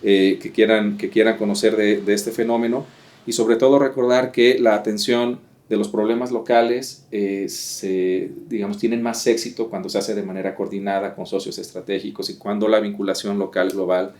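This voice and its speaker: Male academic interviewer, lowish voice, formal, hesitant, filler-heavy. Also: level tone, moderate pace, darkish tone. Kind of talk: interview summary and wrap-up.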